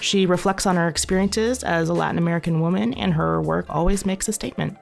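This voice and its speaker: A woman's voice: fairly low pitch, quick pace, gravelly, faint music behind. A woman guest-hosting a podcast interview, upbeat and conversational. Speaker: guest host.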